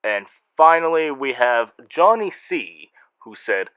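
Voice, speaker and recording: A man's voice: mid-range voice, sing-song, slow, heavy background noise. A man hosting a scripted comedy segment and reading out listener messages in a sarcastic, snide manner.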